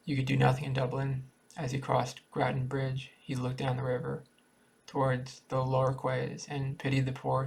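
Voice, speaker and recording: A man reading a literary short story aloud in a halting way, sometimes stumbling over words. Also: mid-range voice, gravelly, husky, low-quality recording. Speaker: amateur story reader.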